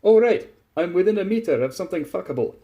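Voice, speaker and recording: Man putting on a gruff, loud soldier. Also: sits high, animated, fast talker, voice pushed up. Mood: sceptical, brash. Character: gruff, boozy, smug Russian male soldier. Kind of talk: dramatised story reading.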